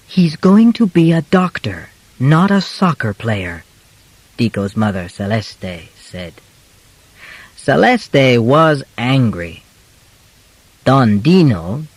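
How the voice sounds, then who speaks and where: A man narrating a simple scripted story as an audiobook, reading in a measured, clearly enunciated way with pauses between short sentences. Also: mid-range voice, no room sound, rough recording.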